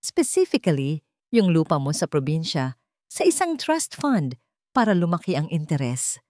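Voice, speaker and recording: A woman voicing a smooth male lawyer in an audiobook drama, formal and persuasive. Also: low-pitched, sing-song, a little slow, darkish tone, dry recording, studio quality. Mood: smoothly persuasive. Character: smooth male lawyer explaining a shady document.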